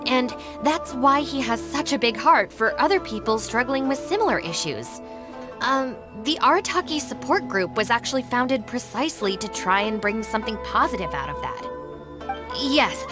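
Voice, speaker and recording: Give the impression of a responsible young woman voiced by an actress in a video game. Her voice is high and very expressive, with polite, formal phrasing that sets out to persuade. Music plays clearly behind her. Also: normal speed, natural voice. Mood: earnest, persuasive. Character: poised young woman, diplomatic deputy of a gang, sternly responsible.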